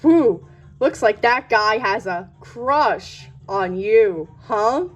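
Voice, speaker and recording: A woman's voice: a little high, animated, very slow, soft background music, exaggerated voice. A woman voicing a male jungle boat skipper in a storybook, joking and mock-serious with puns. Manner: punning, mock-serious delivery. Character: jokey male river-boat skipper, deadpan tour patter.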